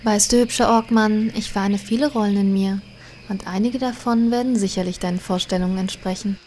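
Woman doing a bossy snooty elf girl, normal pitch, audio comedy, faint background noise. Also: steady tone, fairly quick, warm tone, natural voice. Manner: self-assured, haughty. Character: confident, bossy young female blood elf mage. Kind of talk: comedic audio drama acting.

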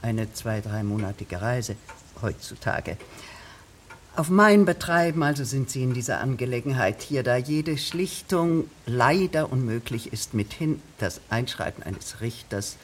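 A woman's voice: a bass voice, expressive, measured pace, a little hiss or hum. Fussy, long-winded woman village official. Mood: officious, rambling. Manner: wordy, officious, digressive. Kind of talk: radio drama acting.

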